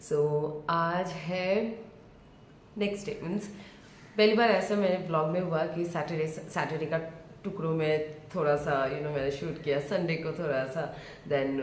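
Chatty low-voiced woman vlogger. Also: echoey room, a little hiss or hum. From India. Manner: chatty, rambling, mixes in English phrases. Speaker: travel vlogger. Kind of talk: casual vlog chat. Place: small bedroom, seated on a bed.

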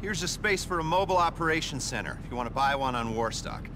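An actor playing a nervy, evasive, foul-mouthed covert arms dealer in a video game cutscene, rambling and stammering, then abruptly bossy. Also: male voice, fairly high pitch, lively intonation, fast, natural voice. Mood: slick, selling. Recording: clear vehicle and ambient noise.

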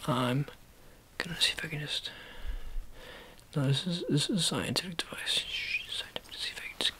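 A man in his thirties doing a soft-spoken, whispery ASMR doctor roleplay in a hesitant, halting mumble, close to the mic. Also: fairly high pitch. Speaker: ASMR roleplayer playing an eye surgeon. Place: small dim room, indoors. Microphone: close to the mic, near camera. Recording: noisy close-up home recording with clicks.

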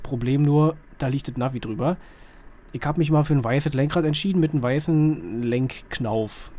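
Slightly hoarse man, chatty game commentary. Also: medium pitch, darkish tone. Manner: colloquial, rambling, asks himself questions. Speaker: gaming video host. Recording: clear vehicle engine noise behind voice. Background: truck simulator engine sound.

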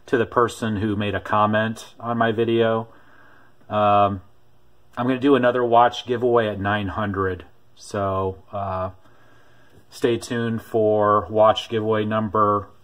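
A man talks through his latest finds from behind a handheld camera, drawling along slowly with frequent "uh" pauses. His voice sits on the low side and barely rises or falls. The feel is relaxed and homemade. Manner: unhurried, many "uh" pauses, low-key. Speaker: vlogger showing thrift and auction finds. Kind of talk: casual off-camera show-and-tell. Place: home room at a desk.

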